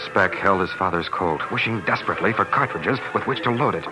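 A man's voice: sits low, fairly quick, rough recording. A man with an American accent narrating a classic radio drama. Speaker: narrator and announcer. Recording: old radio recording, music behind.

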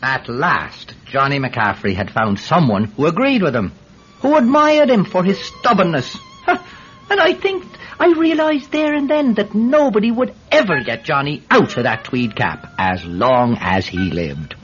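An actor playing an eager Irish peat seller testifying in court in a classic radio drama, hesitant, stammering and forever digressing. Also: male voice, on the high side, very animated, measured pace, fairly bright tone, faint music behind. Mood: storytelling. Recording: muffled, hissy vintage radio recording.

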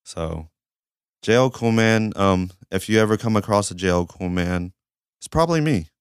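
A podcast guest, a man from Georgia, whose low voice is hoarse but still smooth and resonant. He talks hesitantly, filling gaps with ums. The sound is dry and close. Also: lively intonation, normal speed, no echo. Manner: hesitant, filler-heavy. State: hoarse, losing his voice. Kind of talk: casual chat. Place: studio.

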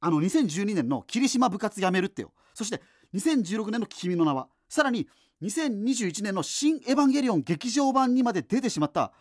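Rambling, animated geeky radio host. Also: male voice, high-pitched, no echo, clean studio sound. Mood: excited. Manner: hesitant, digressive, self-interrupting. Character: rambling, geeky male radio host facing doomsday in 2039. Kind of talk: radio drama monologue, conversational.

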